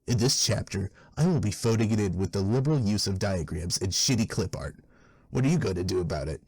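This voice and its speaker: A man voicing a foul-mouthed, sneering textbook author in a cartoon fan dub, husky and wordy, weary of his readers. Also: on the low side, lively intonation, fairly quick, a little mellow, dry recording. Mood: contemptuous, weary. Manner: scornful, verbose. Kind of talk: fan dub character narration.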